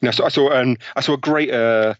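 Brief: male guest author, lively explainer, dull and dry sound. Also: mid-range voice, brisk, no echo. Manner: enthusiastic storyteller, explains studies with examples. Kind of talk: podcast interview.

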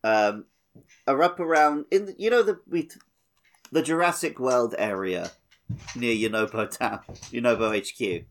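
A man's voice: medium pitch, expressive, moderate pace. A man co-hosting a podcast, chatting casually about a video game with wry, dry humour. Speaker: podcast co-host.

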